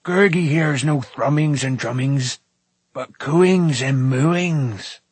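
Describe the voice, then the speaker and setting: A hairy, devoted little storybook creature. It is excitable and boastful, repeating itself in a fawning way. The voice is husky and stretches out its words. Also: male voice, mid-range voice, slow pace, no echo. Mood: excited, urgent. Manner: rhyming, repetitive, fawning talk.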